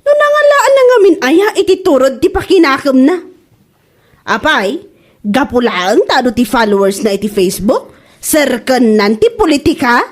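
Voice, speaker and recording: Lady doing ilocano village gossip, really high voice, swoops all over, mocking comedy drama. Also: big pitch swings, normal speed. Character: sharp-tongued gossiping village woman, mocking.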